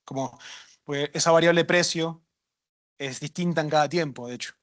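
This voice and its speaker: A man answering questions at a workshop, relaxed and thinking aloud with small jokes. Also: fairly high pitch, moderate pace. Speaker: workshop instructor. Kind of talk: casual lecture Q&A.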